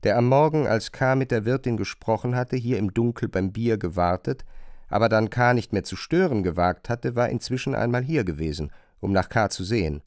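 A man narrating a literary radio play from a script, in measured, carefully phrased long sentences on a close studio microphone. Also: fairly low pitch, darkish tone, no room sound.

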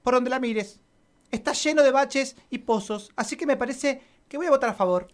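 A woman acts out a bureaucratic Argentine councillor in a satirical radio play. The voice is fairly high, and the talk is evasive, wordy officialese, hesitant and self-serving. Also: normal speed, close to an everyday voice. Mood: hedging.

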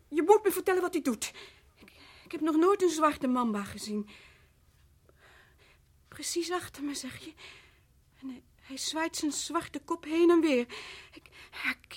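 A haunted, delusional wife in a radio play. Her voice is high and fragile. She stammers anxiously and slips into breathless whispers.

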